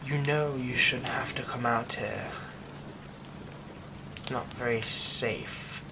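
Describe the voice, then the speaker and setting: ASMR drama: a man as a brooding young werewolf friend, hushed and slow-spoken, half-whispered lines, steady rain behind. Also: mid-range voice, noisy background. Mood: soft, eerie. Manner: hushed, often dropping to a whisper. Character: secretive young man hiding a werewolf curse. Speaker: ASMR roleplay voice actor.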